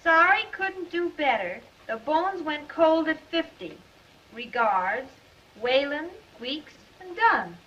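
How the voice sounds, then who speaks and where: An actress playing the devoted, wry young sweetheart of a reporter in a 1930s Hollywood film. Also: female voice, high-pitched, big pitch swings, takes it slowly, a bit bright, full character voice. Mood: surprised. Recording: muffled, distant 1930s film soundtrack.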